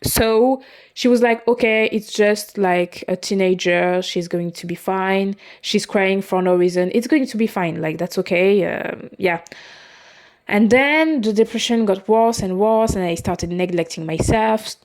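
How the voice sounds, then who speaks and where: A young woman in her twenties talks through her own story as a podcast host. English is not her first language. She speaks haltingly and often stops to fix her own words, in a plain, mid-pitched voice. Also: darkish tone. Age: 20s. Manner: halting, with frequent restarts and self-corrections. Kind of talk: personal confessional monologue.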